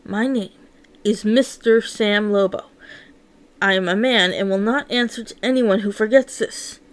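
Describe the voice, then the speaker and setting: A woman's voice: mid-range voice, a little slow, natural voice. A woman voicing a proud, firm businessman in a formal, assertive manner for a story. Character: proud, firm businessman superhero addressing press.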